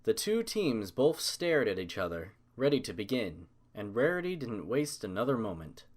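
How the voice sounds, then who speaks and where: A man's voice: mid-range voice, sing-song, normal speed. A man narrating a scripted story as an audiobook reader.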